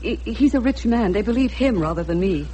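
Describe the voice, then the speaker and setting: A woman's voice: mid-range voice, lively intonation, a little fast, warm tone, close to an everyday voice. Radio play actress: distraught middle-aged mother, pleading and urgent, stammering with fear, slightly noisy recording. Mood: anxious, pleading. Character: anxious, pleading mother of a missing man. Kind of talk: radio drama acting.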